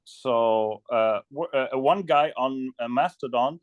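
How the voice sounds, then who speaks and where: Halting man in a dry-sounding chat. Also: mid-range voice, dry recording, dull audio. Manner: halting, often repeating words. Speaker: video creator and guest in a community video chat. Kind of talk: casual chat. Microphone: close microphone on a video call.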